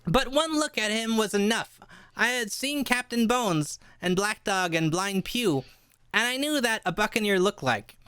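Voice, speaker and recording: A woman playing an earnest young cabin boy who tells his adventure in a live radio play, reading a little haltingly. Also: medium pitch, animated, a bit bright, smooth, dry recording. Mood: earnest, curious.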